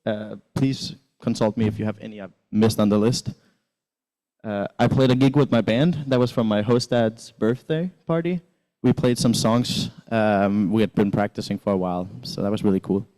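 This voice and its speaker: A man's voice: on the low side, moderate pace, dark tone, rough recording. A teenage man from Sweden giving a casual, rambling talk about his exchange year, full of fillers and restarts. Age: teens. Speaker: exchange student presenter. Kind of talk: casual presentation with slides.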